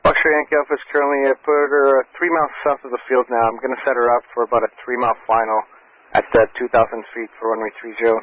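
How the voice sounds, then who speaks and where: A man working as an air traffic controller, giving calm, reassuring step-by-step instructions over aviation radio. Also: medium pitch, quick pace. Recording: muffled, band-limited aviation radio transmission.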